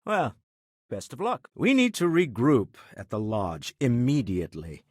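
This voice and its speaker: An actor playing a wealthy, urbane older businessman explorer in a video game, speaking in a refined, measured way with dry wit. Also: medium pitch, wildly swooping pitch, darkish tone, dry recording. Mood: composed. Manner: refined, measured, dryly witty phrasing. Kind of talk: video game character dialogue.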